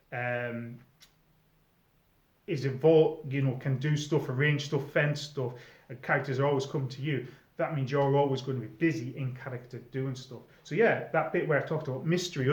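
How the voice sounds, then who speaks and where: The room rings around this middle-aged man, and the sound is a little dull. He talks at a medium pitch in an informal monologue of advice. His delivery is conversational, with points stressed and pauses in the middle of sentences. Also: echoey room. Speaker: experienced role player giving advice to newcomers.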